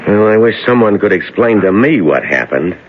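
A hesitant young doctor. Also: male voice, on the low side, very animated, moderate pace, background noise. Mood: dismayed. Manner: hesitant, apologetic, full of "uh"s. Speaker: junior hospital doctor. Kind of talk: 1970s radio drama acting. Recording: dull lo-fi vintage radio recording.

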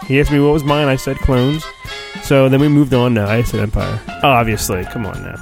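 A man's voice: medium pitch, flat delivery, a little fast, gravelly. A middle-aged American man reading a storybook dramatically and breaking in with informal, wisecracking asides, recorded on his phone. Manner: informal, wisecracking, self-interrupting. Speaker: podcast host. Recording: homemade phone recording, roomy echo, faint music.

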